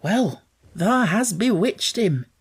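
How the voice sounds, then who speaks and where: A man's voice: high-pitched, lively intonation, slow, husky, pitched above the performer's own voice, full character voice. Yorkshire maid, voiced by a man, young, homely, dutiful, anxious, storybook character. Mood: puzzled. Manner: homely, dialect speech.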